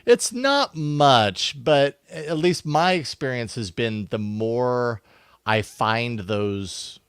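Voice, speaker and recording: A man's voice: mid-range voice, lively intonation, slow, fairly bright tone, clear, resonant tone, dry recording, studio quality. A man talking casually and thoughtfully as a podcast co-host, rambling a little as he works through his ideas. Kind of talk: casual conversational tech podcast talk.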